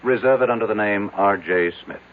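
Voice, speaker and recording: An actor playing a domineering, skeptical business tycoon in a radio drama, curt, formal and commanding. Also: male voice, lowish voice, brisk, natural voice. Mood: brisk, commanding. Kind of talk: 1970s radio drama acting. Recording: tinny band-limited old radio, faint hiss.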